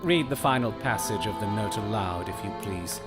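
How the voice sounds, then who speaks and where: A man playing a cool, shrewd detective in an audio drama, speaking with formal, measured courtesy and dry irony. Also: lowish voice, lively intonation, loud music behind the voice, natural voice. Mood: grave, discreet. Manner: measured, formal, dryly ironic.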